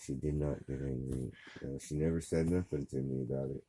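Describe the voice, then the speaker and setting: A man in his sixties with a rough, worn voice, talking slowly and easily in a casual chat at home. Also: very deep voice, a little hiss or hum. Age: 60s. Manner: slow, relaxed storytelling with drawn-out fillers.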